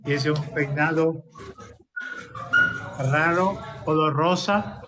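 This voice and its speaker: A man with a Brazilian accent and a slightly high voice chats casually. He is a native Portuguese speaker and talks as a guest who grooms dogs and teaches. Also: very slow, over music, low-quality recording. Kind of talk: casual chat.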